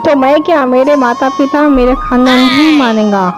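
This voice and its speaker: A hungry, scheming male wolf from a cartoon tale, as a woman narrator puts him on, dropped lower than her bright high voice. He talks slyly and greedily. Music plays under the whole recording. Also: normal speed, a crowd in the background, lightly acted. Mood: fawning. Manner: sly, gloating, then fawning. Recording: background music.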